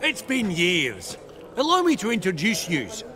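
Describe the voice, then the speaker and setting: Brash, bright, high-pitched dwarf banker. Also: male voice, huge pitch swings, measured pace, exaggerated voice. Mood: chatty. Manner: brash, chummy, colloquial swearing. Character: jovial foul-mouthed dwarf banker, brash and sociable. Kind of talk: video game character acting.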